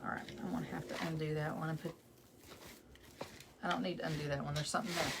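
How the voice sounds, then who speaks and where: A woman's voice: low-pitched, steady tone, fast, vocal fry, noisy background. A woman with a West Texas accent hosting a live crafting stream from home, chatting casually and greeting viewers by name as she works. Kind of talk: casual chat while crafting.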